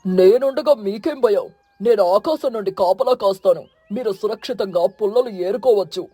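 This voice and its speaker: An actor voicing a commanding, protective eagle king in a children's cartoon story, solemn, authoritative and husky. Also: mid-range voice, moderate pace, dark tone, dry recording, lightly acted. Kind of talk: animated children's moral story.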